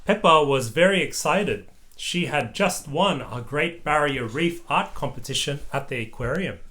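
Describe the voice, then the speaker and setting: Parent reading kids book out loud, expressive, stops to explain stuff patiently. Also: medium pitch, moderate pace. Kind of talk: read-aloud children's story with asides to a child.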